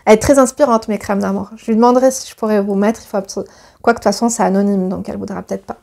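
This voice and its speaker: A woman's voice: mid-range voice, fairly even intonation, rapid-fire, vocal fry, a little mellow. A woman in her thirties chatting to her viewers and reading a letter aloud, gushing and full of fillers and restarts.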